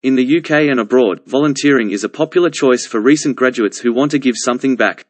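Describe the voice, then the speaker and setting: A man giving a scripted read-aloud for English exam practice, with measured, carefully enunciated delivery. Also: medium pitch, no echo. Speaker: narrator of model answers.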